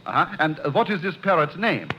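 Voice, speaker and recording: A man's voice: fairly high pitch, sing-song, a little fast, bright, forceful tone. A man playing a self-important, courteous animal psychiatrist in a 1950s radio comedy, speaking formally. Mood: pompous, courteous. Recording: dull, very noisy old radio recording.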